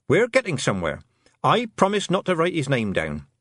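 Storybook heroine, young girl read by a man: raised lively pitch, firm, earnest, forthright. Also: moderate pace, no echo, clean studio sound, heavily acted.

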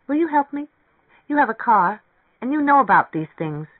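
A man reading a story, voicing an eager, naive young woman who is excitable and full of curiosity. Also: very high-pitched, moderate pace, dry recording, narrow, tinny audio, voice pushed up, heavily acted. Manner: excitable, inquisitive.

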